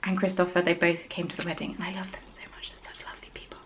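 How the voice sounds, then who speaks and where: A woman's voice: fairly low pitch, monotone, very fast. Chatty woman hosting a podcast. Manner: chatty and rambling, with quiet whispered asides. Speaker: podcast host. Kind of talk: casual chat about knitting.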